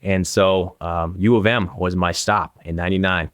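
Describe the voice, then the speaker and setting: A man's voice: sits low, steady tone, normal speed, a bit bright, clear, resonant tone, no echo, clean studio sound. A man in his forties with an Upper Midwestern American accent, talking casually and anecdotally as an interview guest. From northern Minnesota, USA. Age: 40s. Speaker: guest, real estate agent.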